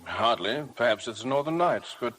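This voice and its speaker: An actor playing a loyal, soothing gentleman friend in 1950s radio drama style. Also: medium pitch, animated, moderate pace. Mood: concerned, puzzled. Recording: faintly noisy old 1950 radio broadcast.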